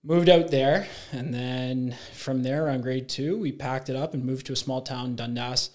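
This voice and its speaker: A man's voice: mid-range voice, steady tone, moderate pace, a little mellow. A man in his thirties from Ontario, a podcast guest who talks casually in long, rambling runs, full of fillers and self-corrections. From Ontario, Canada. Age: 30s. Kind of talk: casual chat.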